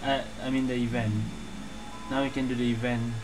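A man's voice: mid-range voice, little pitch movement, fairly quick, low-quality recording. A man giving casual gameplay commentary as a streamer, hesitantly thinking aloud and muttering to himself. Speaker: gaming streamer. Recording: loud game music under voice. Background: loud game music.

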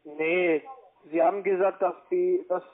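High-pitched foreign-accented man on phone. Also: steady tone. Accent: foreign accent in German. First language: not German. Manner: halting, repetitive, badgering, broken grammar. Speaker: cold caller from a call centre. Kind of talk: argumentative phone conversation. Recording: narrow, tinny phone line.

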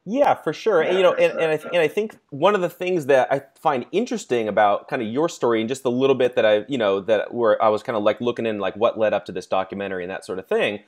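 A man's voice: mid-range voice, fast, few pauses. A man in his thirties hosting a podcast over a video call, often repeating words as he starts a thought. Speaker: podcast host. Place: home room indoors. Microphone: earbud headset on video call.

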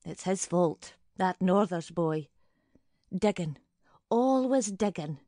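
An actress playing a suspicious, fretful older woman neighbour in an audio drama monologue, brooding in clipped, deliberate fragments. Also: lowish voice, lively intonation, dark tone, no echo, studio quality. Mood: aggrieved.

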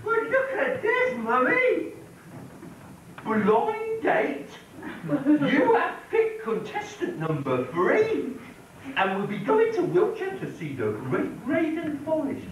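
Pantomime bear, male actor: exaggerated, excitable, unhurried lines, very high voice, recorded far from the stage. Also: slight background noise, exaggerated voice. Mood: eager. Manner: exaggerated, playing to the audience. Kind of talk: amateur pantomime acting. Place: village hall stage. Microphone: far camera in the audience. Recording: echoey hall from far off, camcorder.

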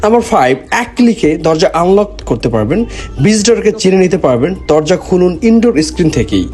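Bangladeshi guy doing a promo voiceover, high voice, drops in english bits, some music behind. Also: big pitch swings, brisk, a little mellow. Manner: mixes English phrases into speech. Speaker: product presenter for a gadget seller. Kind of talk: promotional voice-over. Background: background music.

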